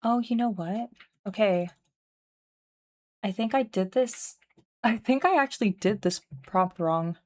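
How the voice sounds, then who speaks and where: A woman's voice: medium pitch, unhurried, long pauses, darkish tone, no room sound. A woman livestreaming a game she made, chatting casually in a scattered, self-interrupting way. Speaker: streamer and game developer playing own game. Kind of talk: casual livestream chat with read-aloud game text.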